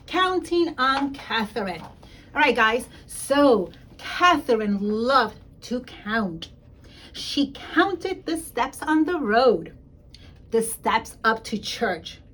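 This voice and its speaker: A woman with a fairly high voice reads a picture book to children. Her pitch swings in a lively way and she takes her time at a slow pace. She stresses key words and talks straight to her young listeners. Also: slight background noise, poor audio. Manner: emphatic, addresses young listeners directly, explains words. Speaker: school principal reading a picture book to children. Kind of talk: expressive children's story read-aloud.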